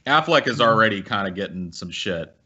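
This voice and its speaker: Forceful low-voiced man, bluntly profane. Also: lively intonation, a little fast, husky. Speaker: podcast host. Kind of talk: casual opinionated banter. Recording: slightly dull podcast audio.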